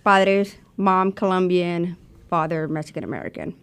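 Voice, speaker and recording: Woman, 30s, from Texas, joking guest, lower voice. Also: moderate pace, smooth. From Houston, Texas. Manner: jokey and lively. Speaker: guest, community organization worker. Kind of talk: conversational interview.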